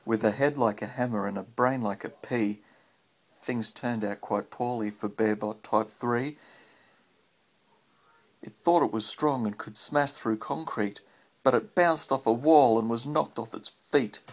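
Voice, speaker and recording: A man's voice: mid-range voice, measured pace. A man reading a children's story aloud to a child as a father, chatting in between with encouraging questions, recorded on a handheld phone. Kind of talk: read-aloud storytelling with casual chat to a child. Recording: faint background noise, home phone recording.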